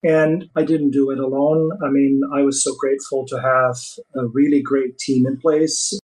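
A man's voice: mid-range voice, flat delivery, normal speed, darkish tone, rough recording. A man from Belgium whose first language is Dutch, speaking with a Belgian Dutch accent as an earnest, enthusiastic interview guest who often adds "you know" and "right?". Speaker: guest, HR executive. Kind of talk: interview conversation.

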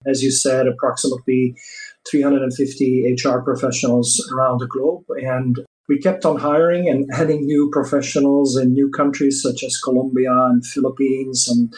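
A man's voice: mid-range voice, little pitch movement, moderate pace, darkish tone, poor audio. Belgian man with a Dutch accent. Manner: earnest, enthusiastic, uses "you know" and "right?" often. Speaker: guest, HR executive. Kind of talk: interview conversation.

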